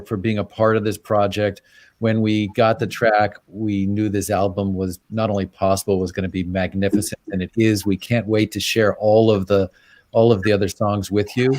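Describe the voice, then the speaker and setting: Brief: low-pitched male host on a video call, dry close sound, halting and warm in thanks. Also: dry recording. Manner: halting, grateful, repeats words while starting sentences. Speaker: event host. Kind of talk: live-streamed conversation.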